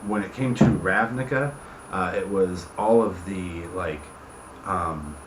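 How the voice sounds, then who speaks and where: Low-voiced man, echoey noisy room. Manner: halting, rambling, often breaking off mid-thought. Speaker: tabletop game campaign creator hosting a video channel. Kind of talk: casual unscripted explainer to viewers. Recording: echoey room with clear background noise.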